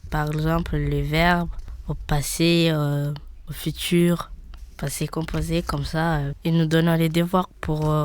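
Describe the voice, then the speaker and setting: A young woman in her late teens gives a halting personal testimony as a student, with many pauses and fillers. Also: deep voice, darkish tone.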